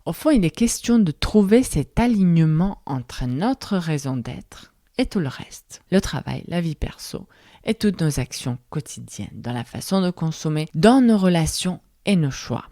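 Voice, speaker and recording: A woman's voice: on the low side, lively intonation, a little slow, no echo, clean studio sound. A woman in her thirties, a podcast host speaking in a language that is not her first, delivering a thoughtful, questioning monologue. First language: not French. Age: 30s. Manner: thoughtful, questioning, soft-spoken.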